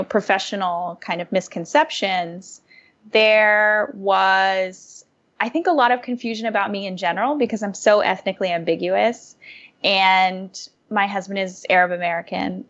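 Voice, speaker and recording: Young woman guest chatting, lively and thoughtful, lots of ums, kinda muffled audio. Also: mid-range voice, normal speed. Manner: animated, thoughtful, with frequent fillers and restarts. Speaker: guest, former diplomat and food blogger. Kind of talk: conversational interview answers.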